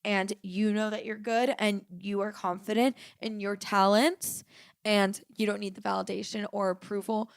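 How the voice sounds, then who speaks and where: A young woman co-hosting a podcast, raspy today, talking in a casual, animated way as she tells stories. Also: mid-range voice, dry recording, studio quality. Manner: animated storytelling, quick and emphatic. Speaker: podcast co-host. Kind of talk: casual chat.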